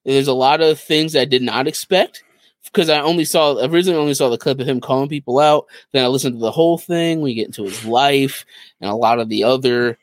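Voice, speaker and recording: A man's voice: medium pitch, fast talker, dry recording. A man co-hosting a podcast, casually retelling stories and acting out what people said. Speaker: podcast co-host.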